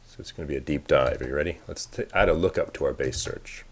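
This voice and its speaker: A man's voice: very deep voice, fast talker, a little hiss or hum. A man narrating a casual screencast tutorial as the presenter, informally thinking aloud as he works. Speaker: software presenter giving a demo.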